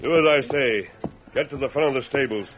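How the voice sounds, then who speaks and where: Muffled hissy old radio, actor doing a firm heroic cowboy giving orders, medium pitched. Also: male voice, a little fast, natural voice. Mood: commanding. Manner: firm, authoritative, decisive. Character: heroic, commanding masked cowboy lawman of the West. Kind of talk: 1940s radio western acting.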